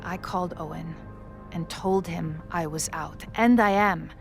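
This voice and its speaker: A woman acting a dignified, guilt-ridden figure of authority in a video game, speaking in formal, measured, articulate phrases. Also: on the low side, gravelly, music in the background. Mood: remorseful, solemn.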